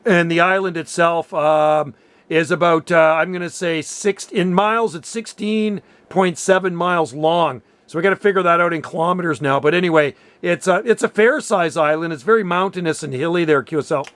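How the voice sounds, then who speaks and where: Clear, higher-pitched Canadian man chatting on radio. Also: moderate pace, a bit bright, no echo. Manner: chatty, informal, says "man" often. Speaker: amateur radio operator. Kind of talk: casual radio chat.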